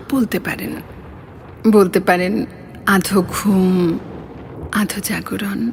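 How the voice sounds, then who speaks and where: A woman playing a poet's gentle, teasing beloved in a recited verse dialogue, with soft, coy phrasing. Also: a little high, a little hiss or hum. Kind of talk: dramatic Bengali poetry recitation as phone dialogue.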